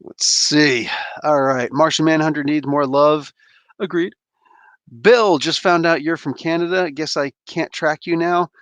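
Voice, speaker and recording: Laid-back rambling man, slightly high voice. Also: fairly even intonation, a little slow, a bit bright, dry recording. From New Jersey, USA. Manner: laid-back, rambling, laughs often, slangy. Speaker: livestream host. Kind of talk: casual livestream chat, reading chat and opening toys.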